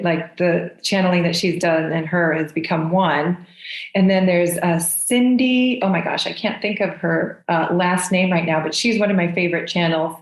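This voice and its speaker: A woman's voice: on the low side, reverberant, rough recording. A woman speaking as a podcast guest, answering casually and conversationally in an effusive, enthusiastic manner. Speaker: podcast guest, spiritual channeler.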